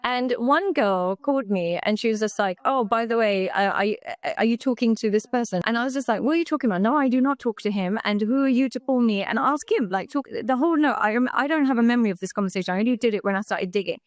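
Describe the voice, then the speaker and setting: A woman's voice: on the high side, fast, few pauses, no echo. A woman speaking as an interviewee on a call, hesitant and full of fillers and restarts.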